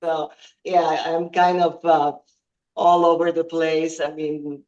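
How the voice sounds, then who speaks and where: A woman, a guest on an interview podcast, speaking hesitantly with many ums and pauses while she searches for words. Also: sits low, level tone, a little mellow, muffled recording. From Croatia. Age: 50s. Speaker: guest athlete.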